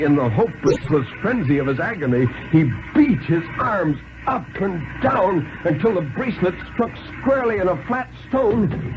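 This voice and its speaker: A man narrating thriller stories in a measured, theatrical 1950s radio reading style. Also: high voice. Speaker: story narrator. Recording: muffled 1950s radio recording with hiss.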